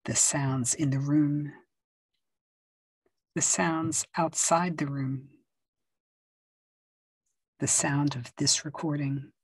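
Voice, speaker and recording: Woman meditation guide, low and breathy, unhurried soothing delivery, dry close sound. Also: fairly even intonation, dry recording. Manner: slow, soft and soothing, with long pauses and gentle instructions. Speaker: yoga nidra teacher.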